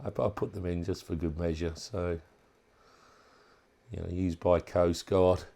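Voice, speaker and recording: Male hobbyist demonstrator, lowish gravelly voice, informal and unscripted, feels his way through sentences. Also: level tone, dark tone, a little hiss or hum. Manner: halting, thinking aloud. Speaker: hobbyist presenter demonstrating a modified radio. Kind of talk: casual unscripted product walkthrough.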